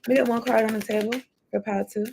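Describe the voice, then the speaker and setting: A woman's voice: a little high, fairly even intonation, very fast, vocal fry, darkish tone, slight background noise. A woman doing a casual tarot reading, chatty and rambling as she free-associates between cards and thoughts, swearing now and then. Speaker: tarot card reader.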